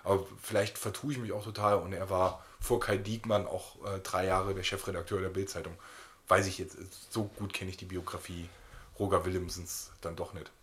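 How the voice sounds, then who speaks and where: Male podcast co-host, lowish voice, loose and wryly rambling chat. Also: flat delivery, normal speed. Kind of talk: casual banter.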